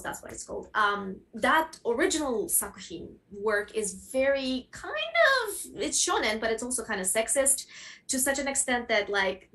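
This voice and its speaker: A woman's voice: on the high side, fairly bright tone. Woman panelist, thoughtful and searching. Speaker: panel guest speaker. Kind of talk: academic panel discussion.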